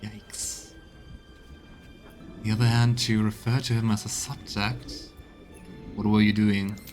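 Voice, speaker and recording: Man, game streamer, let's play commentary, medium-low pitch, reading and remarking, game music behind. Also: level tone, takes it slowly, darkish tone.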